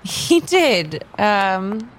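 A woman playing a casual, friendly young tarot reader in an improvised audio drama, talking in a halting, conversational way. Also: mid-range voice, sing-song, a little hiss or hum, poor audio. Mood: friendly, curious.

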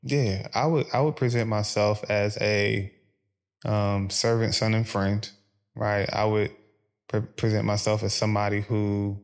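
A man from Philadelphia, speaking as a podcast guest in a calm, measured way with frequent pauses. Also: fairly low pitch. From Philadelphia, USA. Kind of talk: reflective interview conversation.

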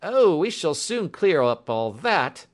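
A man's voice: on the high side, sing-song, slow, voice pushed up. A man voicing a hearty, eager police inspector in an audiobook, confident, jovial and emphatic. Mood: brisk. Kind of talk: audiobook character acting.

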